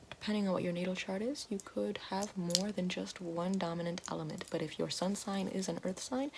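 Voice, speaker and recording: A woman speaking softly as an ASMR host guiding the listener, slow and hushed, often slipping into a whisper. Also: on the low side, vocal fry, a little hiss or hum. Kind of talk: soft-spoken ASMR roleplay, guiding a grounding exercise.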